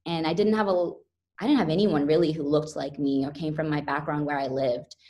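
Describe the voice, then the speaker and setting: Reflective woman, lowish voice. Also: fairly even intonation, brisk, a little mellow. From United States. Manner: earnest, reflective, frequent restarts. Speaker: guest. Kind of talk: interview conversation.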